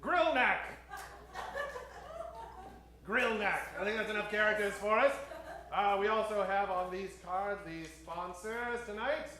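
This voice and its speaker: A man's voice: sits high, a little slow, pauses often, sharp tone. A man hosting a live improv radio show in the grandiose, tongue-in-cheek style of an old-time radio announcer, addressing an audience. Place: echoey venue in front of a live audience. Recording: noisy, echoey live stage recording from far off.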